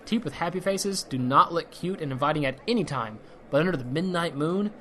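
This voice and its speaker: A man's voice: a little high, lively intonation, a little fast, a bit bright. A man playing a cocky, sardonic monster hunter with breezy banter in a fantasy comedy audio drama. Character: wisecracking, cocky male monster hunter posing as security.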